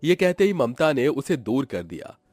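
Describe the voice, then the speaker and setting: A man's voice: medium pitch, very animated, moderate pace, darkish tone, dry recording, studio quality. A man narrating a scripted story in a dramatic storytelling style. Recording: music behind the voice.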